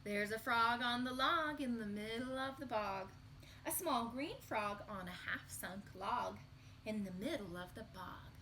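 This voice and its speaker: A woman reading a picture book aloud to young children in an expressive, sing-song storytelling manner. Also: a little high, measured pace, slight background noise.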